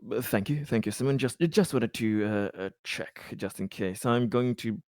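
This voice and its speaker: A man playing a tabletop roleplaying game, casually and haltingly describing what his character does and asks. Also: mid-range voice, darkish tone, dry recording, clean studio sound.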